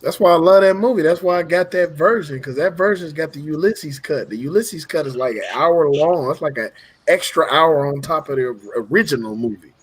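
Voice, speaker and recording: Husky man in laid-back banter. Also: fairly high pitch, animated, brisk. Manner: laid-back, laughs often, movie fan banter. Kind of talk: casual group chat.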